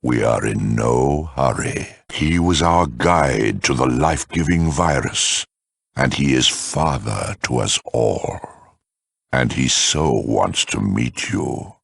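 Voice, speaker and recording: Cavernously deep, gravelly mutant villain. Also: male voice, expressive, fairly bright tone, studio quality, full character voice. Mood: calm, lecturing. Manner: condescending, eloquent, slow and deliberate. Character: huge super mutant lieutenant, smug, erudite, menacing zealot. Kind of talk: theatrical video game villain acting.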